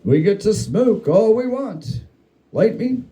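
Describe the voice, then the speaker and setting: A man voicing a gloomy, reproachful, needy ghost in a dramatic story reading, complaining in a grim, forlorn, drawn-out way. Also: high voice, very animated, warm tone, voice pushed up, exaggerated voice. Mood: grumbling. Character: gloomy, reproachful, needy male ghost who nags the living.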